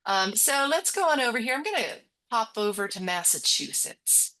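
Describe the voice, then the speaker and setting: A woman's voice: a little high, very animated, normal speed, bright, forceful tone, rough recording. A woman co-hosting a library webinar, walking through a website in a chatty, upbeat way with frequent giggles. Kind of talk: casual screen-share demo chat.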